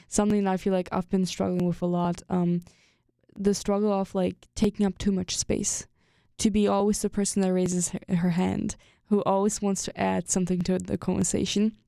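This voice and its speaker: A woman's voice: medium pitch, creaky, warm tone, clean tone, no echo, clean studio sound. A young woman with a German accent, hosting a podcast and chatting openly, thinking aloud with lots of fillers. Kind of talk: casual conversation.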